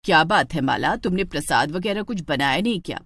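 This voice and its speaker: A woman's voice: low-pitched, expressive, brisk, fairly bright tone, breathy edge, no room sound, pitched below the performer's own voice, heavily acted. A woman voicing a stingy, scheming middle-aged mother-in-law in a cartoon story, with a placating, penny-pinching manner. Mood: disapproving.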